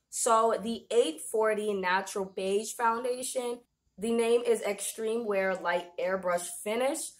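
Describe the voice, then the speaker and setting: Slightly raspy young woman vlogging. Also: medium pitch. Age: young adult. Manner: chatty, informal. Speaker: beauty vlogger. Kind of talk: casual product review to camera. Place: small indoor room, plain backdrop.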